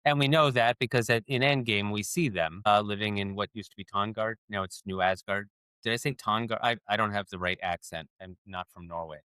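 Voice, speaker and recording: A man's voice: fairly low pitch, a little fast, sharp tone, clean tone, no room sound, low-quality recording. A man co-hosting a podcast, chatting casually and eagerly cutting in with his own points. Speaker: podcast co-host. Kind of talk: casual chat about a movie.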